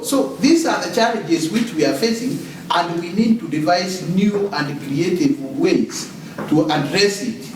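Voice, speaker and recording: A man's voice: sits high, a little hiss or hum. A man from Kenya asking a question from the floor at a panel, hesitant, with many fillers and restarts. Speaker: audience member. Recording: echoey hall, far from the mic.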